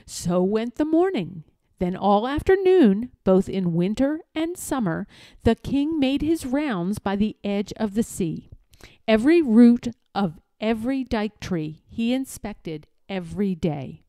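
A woman narrates a children's story with a very expressive, swooping delivery. Her tone is soft and mellow, and the recording is close and dry. She phrases deliberately, like a storyteller. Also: mid-range voice, no room sound. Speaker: read-aloud storyteller.

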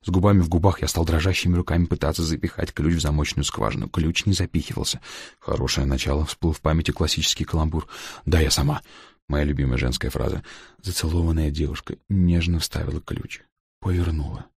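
A man's voice: very deep voice, steady tone, quick pace, vocal fry, darkish tone, slightly rough, dry recording, studio quality. A man narrating a humorous first-person story for an audiobook, with a wry, ironic delivery.

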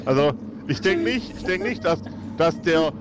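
Higher-pitched man in casual role-play. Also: lively intonation, moderate pace, noisy background. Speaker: tabletop role-play player. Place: home setup on a group video call. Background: music.

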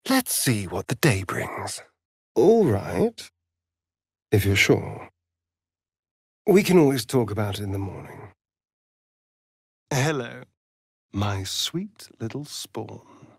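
An actor playing a sly, vain vampire rogue in a video game, with a theatrical, arch, drawling delivery. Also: male voice, fairly low pitch, sing-song, slow, creaky, long pauses, slightly rough, no echo, studio quality, full character voice. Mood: arch, wry.

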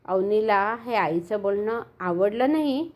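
An older woman narrating a children's bedtime story in an expressive, animated way. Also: medium pitch, slow, highs cut off.

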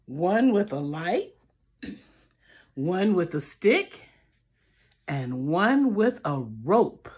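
A woman's voice: fairly low pitch, very animated, very slow, pauses often, a little mellow, husky. An older woman reading a picture book aloud to children, theatrically drawing out phrases and adding asides to the listeners. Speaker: storybook reader. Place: small room at home, indoors.

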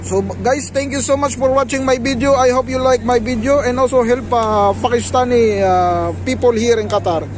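A man's voice: very high-pitched, moderate pace, hardly any pauses. A man in his forties vlogging casually on a city sidewalk, chatting in broken English, repeating words and filling gaps with "uh". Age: 40s. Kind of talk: casual vlog chat. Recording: street noise behind.

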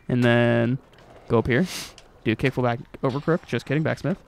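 A man's voice: medium pitch, moderate pace, creaky, a little hiss or hum. A young adult man hosting a gaming video, chatting casually over gameplay in slangy, informal language with some swearing.

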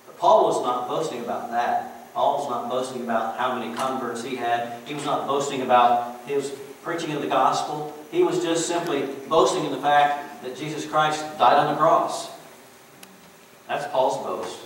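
A middle-aged man preaching to his congregation in a relaxed, chatty way, dropping in asides. His voice rings around a very echoey church, caught from some distance by the mic, with a faint hiss underneath. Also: medium pitch, normal speed. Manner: folksy, conversational preaching with chuckles and "you know". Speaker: pastor. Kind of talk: sermon. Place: church sanctuary.

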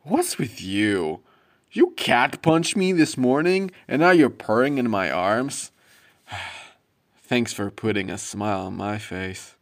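A man's voice: mid-range voice, animated, slow. A man voicing a heartbroken, kindly, easily startled young man in a dramatic manga dub. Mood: gentle, wry.

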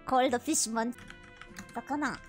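A woman's voice: a little high, fairly even intonation, unhurried, soft background music. A woman livestreamer with a childlike, cutesy voice, chatting casually and playfully with her viewers and prone to squealing.

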